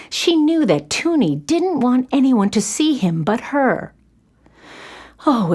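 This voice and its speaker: A woman narrator reading a scripted children's story in an expressive storytelling manner. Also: on the high side.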